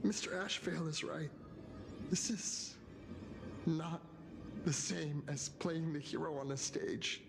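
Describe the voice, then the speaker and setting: A man voicing an anguished, high-strung male video game character with a plaintive, melodramatic, halting delivery. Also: steady tone, creaky, noisy background. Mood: subdued, earnest. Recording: loud game music behind the voice.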